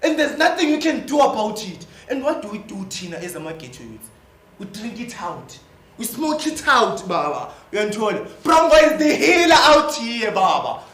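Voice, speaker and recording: Stage drama: male actor as young Zimbabwean minibus hustler, emphatic, weary yet desperate, forceful voice, roomy echo. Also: extremely high pitch, big pitch swings, moderate pace, heavily acted. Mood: bitter despair. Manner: emphatic, repetitive, punctuated with slang. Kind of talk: stage play acting. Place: indoor theatre stage. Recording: echoey stage hall, faint noise.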